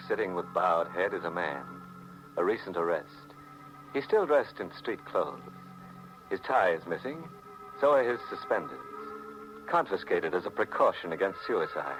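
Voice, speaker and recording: A man's voice: deep voice, poor audio. A man playing a gleefully macabre, sardonic horror host narrating a 1940s radio mystery in a theatrical, mock-sinister manner. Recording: old 1940s radio broadcast, music behind.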